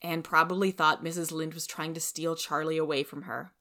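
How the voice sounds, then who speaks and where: A woman's voice: low-pitched, steady tone, brisk, sharp tone. A woman reading scripted storybook narration expressively, as a podcast story reader.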